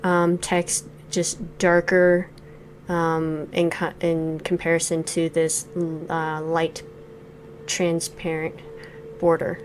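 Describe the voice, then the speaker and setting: A woman's voice: on the low side, monotone, takes it slowly, a little hiss or hum, low-quality recording. Thoughtful woman in her forties reviewing a game. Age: 40s. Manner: thoughtful, hesitant, many fillers. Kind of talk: let's play commentary.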